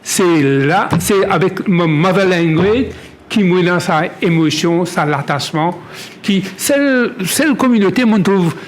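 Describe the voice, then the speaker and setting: Halting man speaking with repeated restarts. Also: high-pitched, slight background noise. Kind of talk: spontaneous testimony in creole-tinged French.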